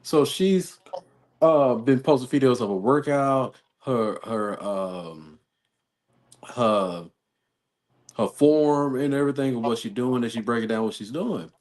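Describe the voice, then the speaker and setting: A man's voice: mid-range voice, measured pace, darkish tone. An American man in a casual talk-show chat, rambling through a personal story as host. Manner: rambling, storytelling with quoted exchanges.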